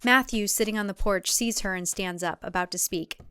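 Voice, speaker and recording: A woman's voice: medium pitch, fairly quick, bright, forceful tone, smooth, no room sound. A woman giving a dramatic scripted narration of a suspense thriller.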